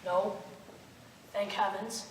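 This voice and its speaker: A woman's voice: mid-range voice, steady tone, takes it slowly, natural voice. A woman playing a defensive, suspicious married man with a guilty secret in an amateur stage play, in an indignant, declamatory manner. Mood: uneasy, fearful. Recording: very echoey, noisy room from far off.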